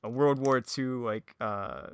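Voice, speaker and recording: A man chatting casually as a podcast guest, with a halting, rambling, self-effacing way of talking. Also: medium pitch, clean tone, dry recording. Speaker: podcast guest, audio drama creator. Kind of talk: casual chat.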